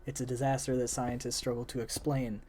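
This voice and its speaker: Young guy rambling about ideas, lots of likes, voice going hoarse, keyboard clicking faintly behind. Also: medium pitch, a little fast, a little hiss or hum. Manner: rambling, many "like" fillers, animated. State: losing voice, voice cracking. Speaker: friend discussing story ideas. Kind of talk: casual chat while gaming.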